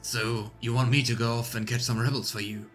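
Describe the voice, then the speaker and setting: A man's voice: medium pitch, little pitch movement, a little fast, a bit bright, soft background music, pitched below the performer's own voice, lightly acted. A man playing a commanding aristocratic starship captain in a video game, with a stern, lordly and formal manner. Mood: haughty. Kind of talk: read-aloud game dialogue.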